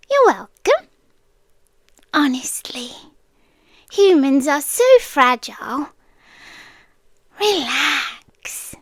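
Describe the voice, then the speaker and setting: A British woman voicing a girlish, sweetly menacing and possessive deer spirit in an ASMR roleplay, coy and teasing, slipping between soft speech and whispers close to the mic. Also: high-pitched, no room sound, exaggerated voice. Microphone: close microphone.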